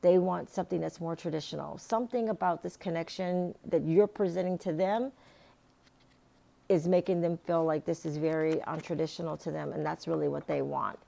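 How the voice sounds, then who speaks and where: A woman with a raspy, hoarse voice giving a casual tarot reading, rambling with many fillers and restarts. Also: deep voice, fairly even intonation, moderate pace, mellow. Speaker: tarot reader.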